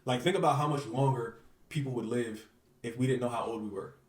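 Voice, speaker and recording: A man's voice: mid-range voice, brisk, distant mic. An earnest man talks in a casual interview, circling back over his points and checking in with the listener. He sits back from the microphone, and the room throws an echo around his voice. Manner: earnest, repetitive, uses filler phrases. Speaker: manifestation coach, guest.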